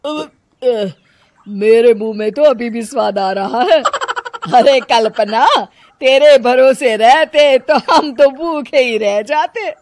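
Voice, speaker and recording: A woman's voice: a little high, slow pace, a little mellow, dry recording, pitched above the performer's own voice. A woman voicing a taunting, bossy middle-aged mother-in-law in a cartoon story. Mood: gloating.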